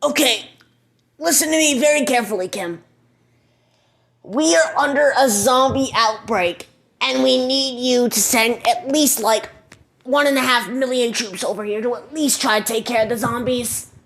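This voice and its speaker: A woman playing an impatient male American president in an amateur radio-style drama, with a creaky voice. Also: on the high side, normal speed, fairly bright tone, slight background noise. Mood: urgent, pleading.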